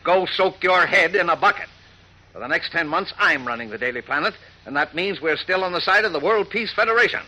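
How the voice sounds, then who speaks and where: Sharp, blustery newspaper editor. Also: male voice, high voice, expressive, brisk, full character voice, low-quality recording. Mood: combative, indignant. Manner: brash, combative, slangy. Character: blustery, hot-tempered male newspaper editor. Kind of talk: 1940s radio acting. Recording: vintage radio recording.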